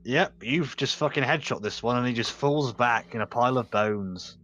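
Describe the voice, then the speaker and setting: A man's voice: mid-range voice, moderate pace, a bit bright. Male game master, mid-range voice, muffled lo-fi sound, stumbling rules talk. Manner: rules explanations full of restarts and self-corrections. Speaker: dungeon master. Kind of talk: running a tabletop game online.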